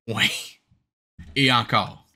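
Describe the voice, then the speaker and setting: A man's voice: medium pitch, steady tone, drawn-out words, heavy background noise. A man with a Quebec French accent giving casual, joking commentary as he hosts a cartoon watch-along. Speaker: host reacting to a cartoon. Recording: loud cartoon soundtrack behind the voice.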